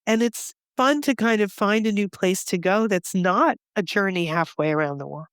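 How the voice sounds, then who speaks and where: Woman podcast host, enthusiastic, smooth voice, dry close recording. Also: medium pitch, normal speed, no room sound. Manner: enthusiastic, animated storytelling. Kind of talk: conversational interview.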